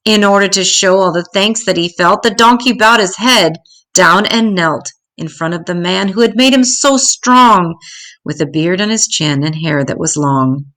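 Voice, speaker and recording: An older woman reading a rhyming children's story aloud in an expressive, dramatic, deliberate way. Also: mid-range voice.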